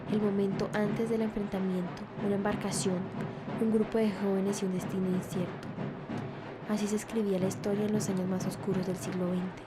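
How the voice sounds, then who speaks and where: A woman performing a grave female narrator of a wartime history tape in an audio drama. Her delivery is formal and level, at a medium pitch, with loud music behind her. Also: lightly acted. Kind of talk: scripted documentary narration.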